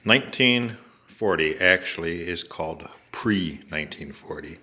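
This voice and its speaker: Deep-voiced older American man. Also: animated, a little slow, bright, forceful tone. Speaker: home video maker showing an antique radio. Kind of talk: casual show-and-tell narration.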